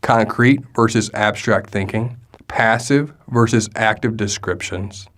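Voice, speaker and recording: A man reading explanatory narration in a steady manner. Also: on the low side, unhurried. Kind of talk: read narration.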